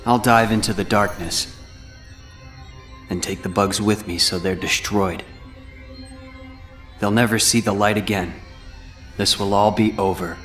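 A man's voice: fairly low pitch, steady tone, a little slow, pauses often, fairly bright tone, faint music behind. A young man playing a brooding, self-sacrificing teenage boy warrior in a game cutscene, grave and restrained. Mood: grave, urgent. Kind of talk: video game cutscene acting.

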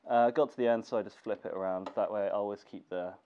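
A man's voice: fairly low pitch, fast, clean tone. A young man with a cold, sounding congested, casually talking through a sewing tutorial in his workshop. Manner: relaxed, thinking aloud with fillers. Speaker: gear maker teaching sewing. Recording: dull lo-fi camera audio with faint noise.

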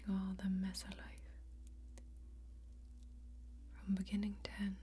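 A young woman playing a wry, hospitable fantasy warrior who keeps a tavern, speaking in hushed, drawn-out ASMR role-play. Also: medium pitch, completely flat delivery, a little hiss or hum, natural voice. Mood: hushed, mysterious. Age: young adult. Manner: hushed, slow, drawn-out storytelling. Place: small indoor set, close up. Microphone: close microphone.

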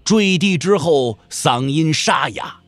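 A man delivers dramatic scripted narration for a wuxia audio drama. His voice sits a little high and moves widely in pitch as he tells the story. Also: expressive, takes it slowly, a bit bright, dry recording.

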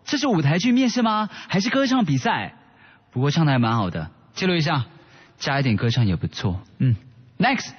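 A man's voice: a little high, very animated, brisk, slightly rough. A man playing a brusque, impatient stage director at auditions in a radio drama. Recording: muffled, long reverberant hall.

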